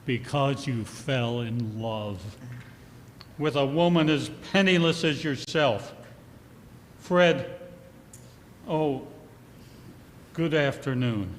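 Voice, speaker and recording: An actor playing a cold, bitter old miser with scornful, barking theatrical delivery in a 1940s style radio play. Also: male voice, medium pitch, slow, pauses often, a little hiss or hum, close to an everyday voice. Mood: curt, dismissive. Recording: live performance in a reverberant hall.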